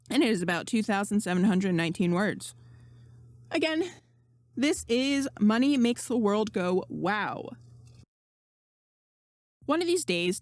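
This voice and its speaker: A woman's voice: medium pitch, dry recording. A woman reading a fan story aloud as scripted narration, close to the microphone. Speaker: podfic reader. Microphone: close XLR microphone.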